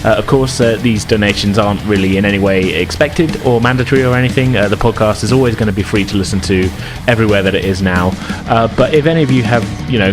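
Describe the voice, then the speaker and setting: A man hosting and game mastering a tabletop role-playing podcast in an informal, chatty way. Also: lowish voice. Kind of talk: casual podcast intro and tabletop game narration. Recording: music behind the voice. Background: music.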